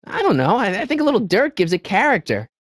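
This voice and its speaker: An earnest American teenage boy from an adventure video game. His voice is high and youthful, rising and falling with animated urgency. He pleads and persuades eagerly, with a nervous, stammering edge, recorded dry and clean. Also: dry recording, full character voice. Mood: nervous, hesitant. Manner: hesitant, stammering, eager, fast-talking persuader. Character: earnest, anxious teenage boy hero of a time-travel adventure. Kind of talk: video game character dialogue.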